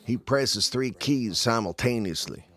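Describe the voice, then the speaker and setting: A man's voice: mid-range voice, unhurried, a bit bright. A man reading scripted narration for an audio drama as its narrator.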